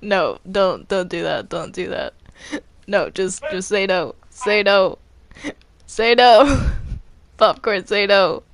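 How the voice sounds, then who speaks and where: A woman's voice: mid-range voice, lively intonation, slow, gravelly, no echo. A woman in casual improvised gameplay chat, hesitant and stammering, often repeating her words. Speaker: gamer in a group roleplay.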